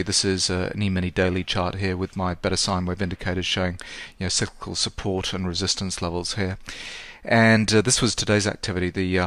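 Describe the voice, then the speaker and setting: Male market analyst, hoarse, very low and level delivery, hesitant and filler-heavy, dry close sound. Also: fairly bright tone, no room sound. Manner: halting, many fillers and hedges. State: hoarse, sore throat. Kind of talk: chart analysis lesson.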